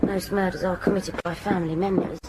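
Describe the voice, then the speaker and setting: An actress playing a shrewd, dry detective sidekick in a radio drama, theorising in a measured, naturalistic way. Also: female voice, lowish voice, flat delivery, heavy background noise, close to an everyday voice. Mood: speculative.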